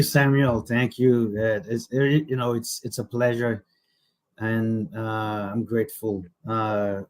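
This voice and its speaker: A middle-aged man from Haiti, an executive director answering interview questions, hesitant with many pauses and restarts. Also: on the low side. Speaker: guest, nonprofit executive director.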